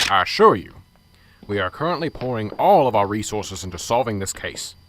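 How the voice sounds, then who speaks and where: A man's voice: lowish voice, big pitch swings, normal speed, a bit bright, a little hiss or hum. An actor playing an exasperated male police sergeant in an amateur radio drama. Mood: weary. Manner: curt, exasperated. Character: gruff weary male small-town police sergeant.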